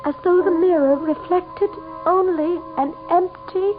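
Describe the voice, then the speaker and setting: High-voiced, frightened society wife, tinny radio. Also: female voice, steady tone, measured pace, over music. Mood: horrified. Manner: breathy gasps, stammering, melodramatic. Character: frightened, guilt-ridden society wife, shaken and haughty. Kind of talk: old-time radio mystery acting, narrating and in dialogue. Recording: tinny, noisy old radio drama recording.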